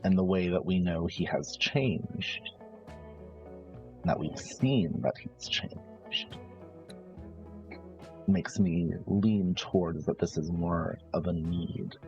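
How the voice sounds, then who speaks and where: A man improvising a calculating brother from a ruthless 1950s family in a tabletop roleplay, speaking in measured, halting phrases. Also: deep voice, darkish tone. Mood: grave, reasoning. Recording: slightly dull audio with music. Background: background music.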